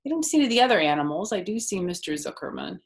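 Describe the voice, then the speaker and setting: A woman storyteller reading a children's book expressively, lingering deliberately on the ends of phrases. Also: on the low side, very animated, gravelly, echoey room.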